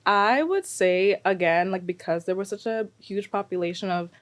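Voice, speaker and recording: Chatty Brooklyn-raised woman, mid-pitched. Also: normal speed. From born in Guyana, raised in Brooklyn, New York from about eight. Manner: chatty, peppers talk with "like" and "you know". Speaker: podcast guest. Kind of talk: casual chat.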